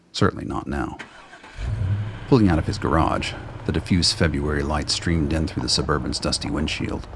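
A man with a very deep, gravelly voice reading scripted dramatic narration for a radio play. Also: slight background noise.

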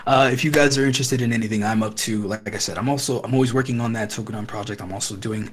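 A man co-hosting a podcast in casual chat, earnest and rambling with halting restarts, hoarse as he loses his voice. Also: on the low side, fairly even intonation. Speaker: podcast co-host.

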